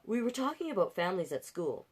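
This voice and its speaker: A woman hosting a livestreamed storytime, reading picture books in an animated way with chatty, encouraging asides to viewers. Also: medium pitch, fast talker, slightly rough. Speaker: storytime host and reader.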